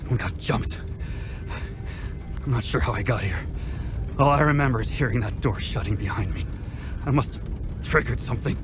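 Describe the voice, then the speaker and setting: An actor playing a wounded, rattled soldier in pain, speaking urgently and haltingly in a video game. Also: male voice, mid-range voice, background noise, natural voice. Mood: pained, disoriented. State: hurt, in pain. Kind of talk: naturalistic video game dialogue acting.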